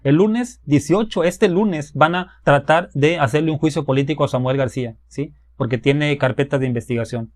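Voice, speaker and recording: A man's voice: on the high side, normal speed, hardly any pauses, dry recording. A man on a political debate panel, arguing in a blunt, accusatory, colloquial way. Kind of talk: political argument.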